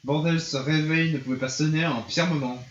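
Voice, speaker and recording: A slightly high-pitched male streamer reads visual novel text aloud in a theatrical, playful way, tripping over the odd line. The sound is dull and roomy, with faint music underneath. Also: fairly even intonation, a little fast. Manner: theatrical, stumbles over lines. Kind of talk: reading game dialogue and narration aloud in a playful let's play.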